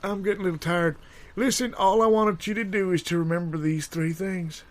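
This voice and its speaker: A man's voice: sits high, steady tone, a little fast, lightly acted. A man playing a forgetful old grandpa, rambling through folksy reminiscences in improvised audio comedy. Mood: tired, fond. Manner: meandering, folksy storytelling.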